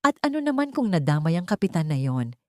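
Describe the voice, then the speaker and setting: A woman voicing a greedy, unrepentant grandmother in an audiobook drama, bitter, defensive and forceful. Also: fairly low pitch, very animated, a little fast, no room sound, studio quality. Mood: bitter, shameless. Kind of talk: Tagalog audiobook drama dialogue.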